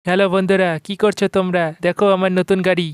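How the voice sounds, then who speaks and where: A woman's voice: lowish voice, little pitch movement, quick pace, noisy background, close to an everyday voice. Smug, boastful rich cartoon boy woman acting.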